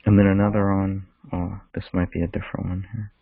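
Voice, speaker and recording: A man's voice: sits low, flat delivery, brisk, no echo. A man hosting a casual discussion, reading through records and thinking aloud in short, terse phrases. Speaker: podcast host. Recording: thin phone-like call audio.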